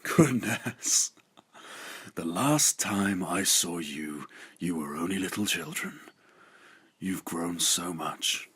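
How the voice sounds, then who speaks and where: A man plays an authoritative, courteous king with a family to manage. The voice is low and husky, in a dry, close audio drama recording. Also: lively intonation, takes it slowly, pushed and bright, dry recording, heavily acted. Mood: welcoming. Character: Arion, authoritative, courteous king and weary father. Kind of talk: scripted audio drama acting.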